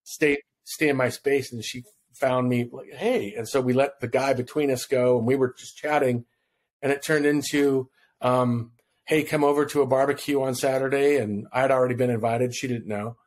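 A man from Hawaii in his fifties tells a personal story as a podcast guest, relaxed and rambling, acting out the lines of the people in it. He speaks into a podcast microphone from home. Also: medium pitch, normal speed. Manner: relaxed, rambling storyteller who acts out quotes. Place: home room on video call. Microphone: podcast mic in front of him.

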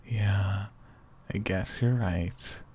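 A young man with a whispery voice speaks softly and sleepily in a teasing boyfriend roleplay, often breaking into quiet laughs. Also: sits low, breathy edge. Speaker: ASMR roleplay performer. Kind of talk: soft-spoken ASMR boyfriend roleplay.

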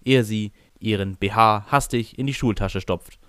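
A man narrates a scripted audio drama in the first person. His voice sits fairly low. The sound is dry, with no room around it. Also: moderate pace, a bit bright, clear, resonant tone, no echo.

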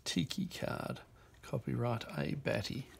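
A New man talks slowly through a stack of old postcards, reading each caption aloud in short, unhurried bursts. His voice has a gravelly, creaky edge. A faint hiss sits under the desk recording. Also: mid-range voice, fairly even intonation. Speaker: auction presenter. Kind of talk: reading out postcards while browsing. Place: indoor office desk.

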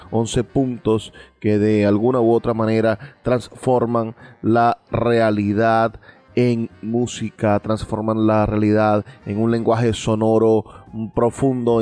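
A Venezuelan man hosts a radio programme at a mid pitch, with music playing behind him. He speaks in formal, effusive phrases, dwelling on words and repeating them. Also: dark tone. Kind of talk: radio presenting, introducing music. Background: background music.

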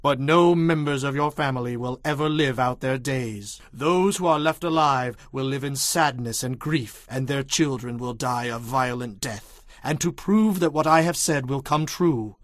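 An actor playing a stern, solemn prophet declaiming divine judgment in a dramatized audio Bible. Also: male voice, mid-range voice, normal speed, hardly any pauses, no echo, studio quality, lightly acted. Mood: stern condemnation.

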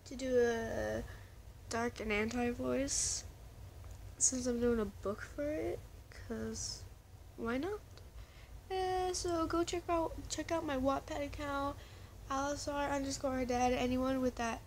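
A woman's voice: high voice, a little mellow, a little hiss or hum. A woman casually chatting to her viewers in a rambling vlog, often restarting her sentences. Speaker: vlogger.

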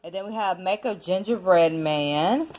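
A woman reading a children's picture book aloud at home in an expressive, deliberate storybook manner. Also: fairly low pitch. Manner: measured, deliberate storybook delivery. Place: indoors at home.

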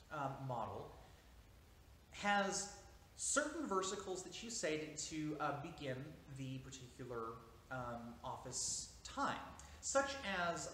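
A man's voice: fairly high pitch, fairly bright tone. A man in his thirties, a priest, giving an informal seated lesson in a small church, explaining at length with fillers and restarts. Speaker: priest teaching a class. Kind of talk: informal lecture. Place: small church interior, seated near a window. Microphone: camera at a few meters. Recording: echoey church room with faint hiss.

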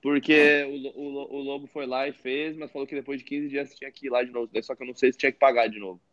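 A man in his thirties co-hosting a casual video-call chat, teasing the others in quick joking banter. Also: medium pitch, steady tone, a bit bright, no echo. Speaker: podcast co-host. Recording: dull lo-fi video call audio.

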